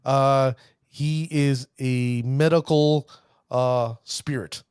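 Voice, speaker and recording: A man hosting a casual podcast, playfully giving shout-outs to players and stumbling over their names. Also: mid-range voice, steady tone, extremely slow, clean tone, no echo, studio quality. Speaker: podcast host.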